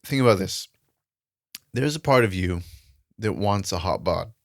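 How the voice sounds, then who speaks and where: A man's voice: low-pitched, lively intonation, unhurried, vocal fry, dry recording, clean studio sound. A man co-hosting a podcast in casual discussion, explaining things through examples and analogies. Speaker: podcast co-host.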